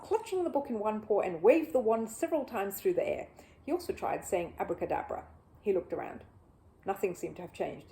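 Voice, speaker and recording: A woman storyteller with a soft, mellow tone reads from a children's book. Her pitch moves about in a very lively way, giving the narration plenty of expression. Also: poor audio.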